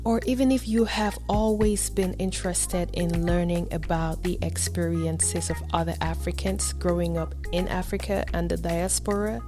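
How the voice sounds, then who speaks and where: A woman's voice: fairly low pitch, steady tone, normal speed, few pauses, music in the background. A woman hosting a podcast, presenting in a polished, upbeat way. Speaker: podcast host.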